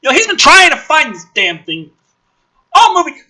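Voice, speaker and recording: A man doing a dramatic script reading with sarcastic, sweary commentary, swinging between hushed narration and exasperated ranting. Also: very high voice, wildly swooping pitch, normal speed. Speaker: host of a gaming and commentary channel.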